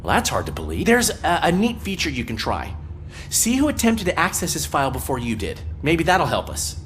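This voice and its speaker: A woman's voice: low-pitched, huge pitch swings, brisk, slight background noise. An actress playing a loyal, concerned policewoman in a video game, plain-spoken and wry. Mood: focused concern.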